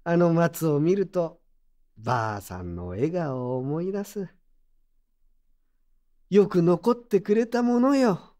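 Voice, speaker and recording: An actor playing a gruff but kindly old craftsman in an audio drama, with rustic, plain-spoken elderly speech. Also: a little high, sing-song, takes it slowly, long pauses, dark tone. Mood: nostalgic. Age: older. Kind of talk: Japanese audio drama acting.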